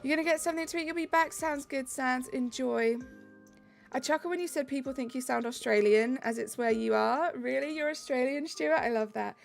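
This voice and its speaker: A woman's voice: high-pitched, fairly quick, vocal fry. A British woman streaming a game, chatting casually and reading out her viewers' messages in a bubbly way. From Britain. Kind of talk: casual live-stream chat, reading chat aloud. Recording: light music behind the voice.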